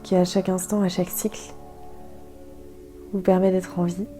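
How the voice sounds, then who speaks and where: A woman guiding a yoga nidra meditation in a soft, calm, soothing way, leaving gentle pauses. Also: on the low side, soft background music. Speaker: guided meditation instructor.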